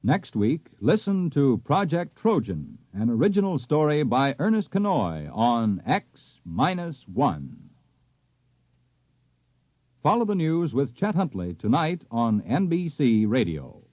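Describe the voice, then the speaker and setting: American male announcer for old radio promos, unhurried and lively in pitch, muffled dry recording. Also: dry recording. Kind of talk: 1950s network radio promo.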